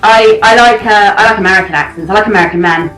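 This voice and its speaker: A woman's voice: mid-range voice, fast talker, a bit bright. A British woman of thirty chatting casually with a friend in a self-deprecating, dramatic way. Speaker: host of a chat series. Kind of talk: casual chat between friends. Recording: echoey, poor-quality amateur audio, music behind.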